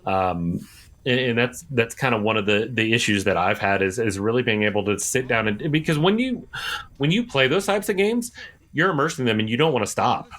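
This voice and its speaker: A man's voice: mid-range voice, animated, a little fast, a bit bright. A man in his thirties co-hosting a podcast, explaining things in casual chat with hesitant, restarted phrases. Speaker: podcast co-host.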